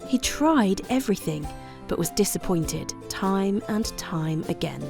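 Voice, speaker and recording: A woman narrating a scripted children's bedtime story with expressive, deliberate phrasing. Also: medium pitch, creaky, music in the background.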